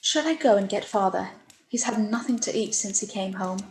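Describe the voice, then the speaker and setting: Woman playing a gentle daughter, echoey room. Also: medium pitch, lively intonation, moderate pace, a little hiss or hum, natural voice. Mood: gentle concern. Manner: solicitous, polite. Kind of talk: radio play live read.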